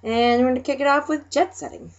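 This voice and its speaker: A woman's voice: high voice, fast. A young woman from Minnesota with a Minnesota accent, hosting a casual video chat, chatty and laughing often between remarks. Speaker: host. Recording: dull lo-fi sound.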